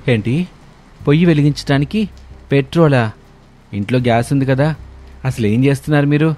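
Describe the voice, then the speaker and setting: A man's voice: medium pitch, moderate pace, darkish tone, lightly acted. A man voicing a puzzled, earnest husband in a cartoon family story. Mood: shocked. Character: grown sons, puzzled husbands in a family tale. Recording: music under the voice.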